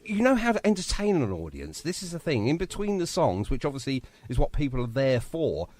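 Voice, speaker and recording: A man's voice: mid-range voice, wildly swooping pitch, fairly quick, a little mellow. A man hosting a casual live radio interview in a chatty, informal, effusive way. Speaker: radio show host.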